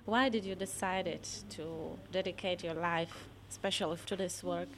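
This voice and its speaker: A woman's voice: on the low side, steady tone, normal speed, a bit bright, slight background noise. A woman interviewer with a non-native English accent, putting questions to her guests.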